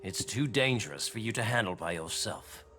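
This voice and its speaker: Man playing a low-pitched serious adviser. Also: lively intonation, moderate pace, music in the background, natural voice. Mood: serious, warning. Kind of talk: game dialogue acting.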